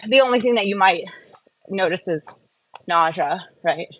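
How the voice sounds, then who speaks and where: A woman's voice: fairly low pitch, moderate pace, fairly bright tone, a little hiss or hum, low-quality recording. A woman hosting a casual live Q&A, talking in an informal, rambling, chatty way. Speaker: live-stream host.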